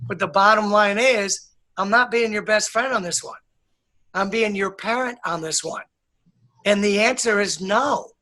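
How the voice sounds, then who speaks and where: A man speaking as an interview guest, calm and earnest, laying down his points firmly. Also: high-pitched, unhurried. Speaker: guest, author and educator. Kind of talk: casual interview chat.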